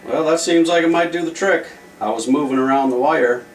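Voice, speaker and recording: A man's voice: on the high side, a little fast, music in the background. A man giving casual, offhand commentary as he thinks aloud during a hands-on repair. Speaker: repair video presenter. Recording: roomy, distant mic with faint noise.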